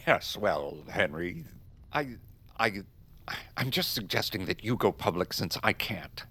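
Husky, halting stuffy political official. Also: male voice, fairly low pitch, very animated, pushed and bright, exaggerated voice. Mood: hesitant. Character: indignant, hesitant, stuffy political official plotting in private.

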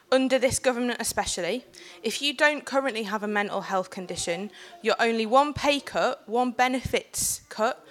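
A woman with a cold giving an earnest, personal talk on a panel in a packed room, building steadily to forceful points. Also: fairly high pitch. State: has a cold. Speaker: panel speaker and organiser.

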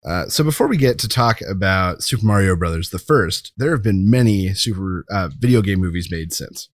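An American man speaks in a low voice as a podcast co-host. He is casual and conversational, with a joking, informal manner, and the recording is dry and free of room sound. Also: animated, a little fast, no room sound.

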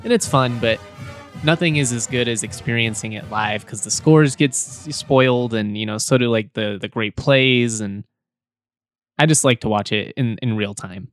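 Casual male podcast host, a bit hoarse, loose and talkative, dry mic. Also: medium pitch, moderate pace, no room sound, faint music behind. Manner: chatty, repeats words, laughs between points. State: slightly hoarse. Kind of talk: casual sports podcast talk.

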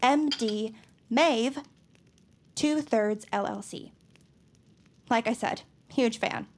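Eager nerdy superfan, high voice. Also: female voice, slow, slight background noise. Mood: eager, showing off. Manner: rambling, earnest explanations. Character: nerdy, eager young superfan woman, earnest and excitable. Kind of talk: scripted audio drama acting.

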